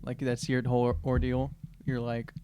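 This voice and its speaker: A man's voice: medium pitch, monotone, normal speed. A man playing a tabletop game, chatting casually, thinking aloud hesitantly and joking as he goes. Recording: clearly noisy background with clicks.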